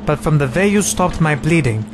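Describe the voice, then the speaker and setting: An actor playing a mortally wounded German major, measured and formal, weak and resigned. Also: male voice, fairly high pitch, lively intonation, background noise, close to an everyday voice. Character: wounded male German major, dying, composed.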